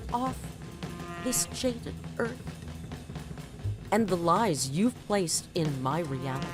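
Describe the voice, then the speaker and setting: Female reader for dramatic poetry and critique; grandiose, unhurried, very expressive intonation. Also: medium pitch. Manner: theatrical, deliberate, grandiose delivery. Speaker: host reading and critiquing a poem. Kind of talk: dramatic poetry reading and literary commentary. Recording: music under the voice. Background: background music.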